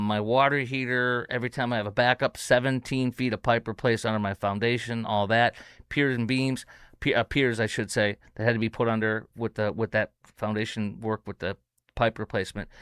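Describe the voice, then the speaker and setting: A man hosting a talk show from his home, chatting casually and rambling with many fillers, getting excited. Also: mid-range voice, clean tone, no echo, clean studio sound. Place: home studio.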